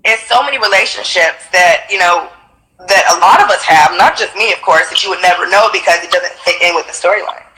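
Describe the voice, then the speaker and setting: A woman in her thirties with a very bright, forward voice, talking in a relaxed interview. She is stuffed up from allergies and sounds nasal. She is lively and slangy and laughs easily. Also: lowish voice, fairly quick, low-quality recording. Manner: animated, chatty, slangy, laughs often. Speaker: reality TV personality and singer, interview guest. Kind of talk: casual interview chat.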